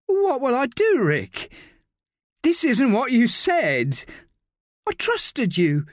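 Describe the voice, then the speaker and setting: A frightened young woman, lost and pleading, her voice lifted well above the reader's own and swinging widely in pitch, as a man puts her on. She sounds close and dry, as in a studio audiobook. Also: wildly swooping pitch, slow, no room sound, full character voice. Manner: tearful, desperate pleading.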